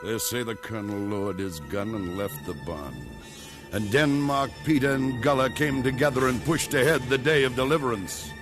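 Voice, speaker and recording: An actor narrating as a solemn folk storyteller in a rhythmic, poetic 1950s radio drama, with music behind. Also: male voice, medium pitch, normal speed, close to an everyday voice. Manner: rhythmic, incantatory. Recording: old radio recording with loud music.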